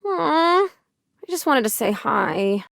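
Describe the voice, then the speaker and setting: A woman voicing a sweet, eager, childlike video game character with cutesy drawn-out speech. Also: high-pitched, sing-song, gravelly, fairly bright tone, no room sound, clean studio sound, full character voice.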